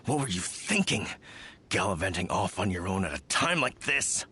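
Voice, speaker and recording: A man voicing an earnest, gruff villager in an anime game, with old-fashioned, exclamatory phrasing. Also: low-pitched, sing-song, normal speed, bright, forceful tone, heavily acted. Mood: scolding. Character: worried, gruff village man, scolding and apologetic. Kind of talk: anime video game voice acting. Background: faint ambience.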